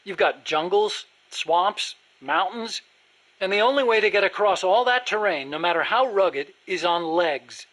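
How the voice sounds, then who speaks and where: An earnest American weapons engineer from a video game, speaks in a high, sharp-edged voice. He is nerdy and precise, eager to explain every technical detail. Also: lively intonation, unhurried, heavily acted, rough recording. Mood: instructive. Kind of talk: video game dialogue acting.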